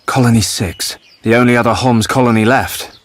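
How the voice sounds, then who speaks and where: A man's voice: mid-range voice, fairly even intonation, moderate pace, natural voice. A man playing an earnest, thoughtful young hero in a video game. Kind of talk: video game cutscene acting.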